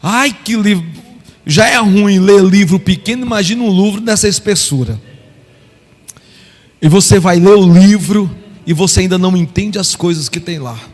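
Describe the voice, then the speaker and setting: A man's voice: high voice, moderate pace. A middle-aged man from Brazil, preaching a live sermon with fervent exclamations of praise. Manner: impassioned, exclaims aleluia often. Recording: reverberant hall with faint music.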